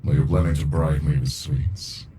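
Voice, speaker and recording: A man voicing an ancient, weary alien monster in captivity for an ASMR roleplay, speaking in a gravelly, brooding murmur, condescending yet intimate. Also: fairly even intonation, normal speed, warm tone, a little hiss or hum. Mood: low, teasing menace. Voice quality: very deep, gravelly, breathy murmur. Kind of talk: ASMR character roleplay monologue.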